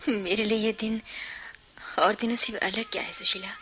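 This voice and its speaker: A high-pitched, bright female voice as a weary working elder sister in a radio drama. She is polite and restrained on the surface, with wounded pride underneath. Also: heavily acted, rough recording. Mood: melancholy. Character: weary, proud elder sister, working woman bearing the household. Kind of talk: Hindi radio play acting.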